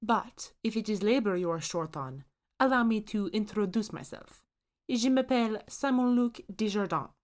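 A woman's voice: medium pitch, animated, normal speed, pitched above the performer's own voice, lightly acted. A fussy, proud French chef's ghost speaks with a thick French accent and lofty indignation, done by a woman narrator. The voice sits a little above the reader's own, with grand, formal phrasing. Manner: haughty, indignant.

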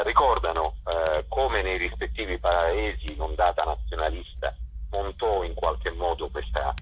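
A man speaking as a festival director presenting a programme on the radio, in a halting way with frequent pauses. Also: low voice, husky. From Italy. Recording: muffled, lo-fi radio or telephone sound.

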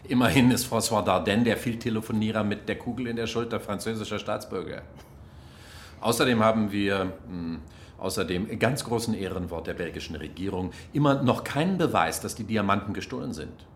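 Wry, low-voiced male diplomat played by an actor. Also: a little fast, a little hiss or hum, lightly acted. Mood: wry bargaining. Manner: dry, ironic, smooth-talking. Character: wry, evasive male German diplomat, drily sarcastic. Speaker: actor in a radio crime drama. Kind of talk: naturalistic radio drama acting.

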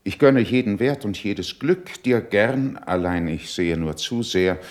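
A shrewd, condescending middle-aged statesman in a classical radio drama. His voice is low, with a widely swinging melody. He delivers his verse in a measured, dryly ironic and superior manner. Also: lively intonation. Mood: guarded, mocking. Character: cool, shrewd, condescending older male statesman. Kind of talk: classical German verse stage acting for radio play.

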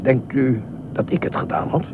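A man's voice: mid-range voice, big pitch swings, normal speed, gravelly, narrow, tinny audio. Noisy old radio-play fussy, meddlesome man. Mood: polite, probing. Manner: formal, insistent, probing questions. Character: fussy, anxious, meddlesome male beach regular pestering a stranger. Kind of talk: Dutch radio play acting. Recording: noisy, thin band-limited old radio recording.

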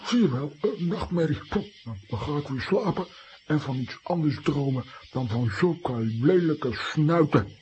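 An actor playing a naive, blustering, easily smitten adult hero in a children's radio play. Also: male voice, on the high side, animated, a little slow, darkish tone, lo-fi sound, slight background noise. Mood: startled. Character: gullible, excitable, lovestruck comic hero, adult man.

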